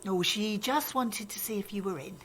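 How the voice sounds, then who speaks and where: A woman's voice: mid-range voice, moderate pace, fairly bright tone, a little hiss or hum, lightly acted. An older actress playing a fussy, old-fashioned, disapproving grandmother in a naturalistic audio drama. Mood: indignant, gossipy. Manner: chiding, matter-of-fact, gossipy. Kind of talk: naturalistic radio drama acting.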